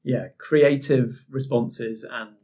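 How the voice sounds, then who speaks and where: A man with a rough-edged, husky voice of average pitch talks as a game master. He explains things informally, in measured, halting stretches. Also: steady tone, warm tone. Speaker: game master and podcast guest. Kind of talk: casual explanatory chat.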